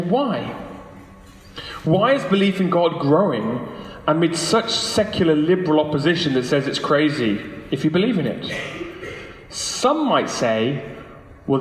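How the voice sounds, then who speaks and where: A man speaking persuasively as a guest lecturer to a live audience, using rhetorical questions and emphatic phrasing. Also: on the high side. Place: large echoey room, lunchtime public talk. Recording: echoey hall with long reverb.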